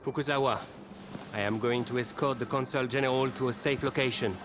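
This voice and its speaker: A man's voice: mid-range voice, steady tone, fairly quick, music in the background, natural voice. A man as a dutiful, mid-voiced escort. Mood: urgent, composed. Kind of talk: dramatic video game cutscene acting.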